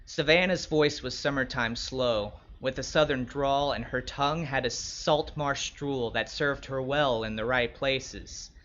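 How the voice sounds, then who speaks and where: A man playing a wry, wary young escort who narrates his own story in measured, descriptive literary prose. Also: medium pitch, fairly bright tone, lo-fi sound, natural voice. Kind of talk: first-person literary narration.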